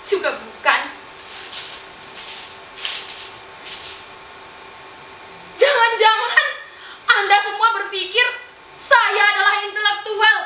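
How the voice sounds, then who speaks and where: A young woman acting a boastful, mocking self-styled artist in a theatrical stage monologue, exaggerated and taunting, with drawn-out, singsong words. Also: extremely high pitch, sharp tone, full character voice. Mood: gloating. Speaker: student stage actor in a monologue competition. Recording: roomy echo, faint background noise.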